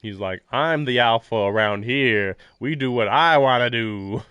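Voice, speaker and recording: A man's voice: mid-range voice, measured pace, bright, forceful tone, clean tone, dry recording, highs cut off. A man live-streaming casual game commentary and reading narration aloud, rambling and musing in a jokey way.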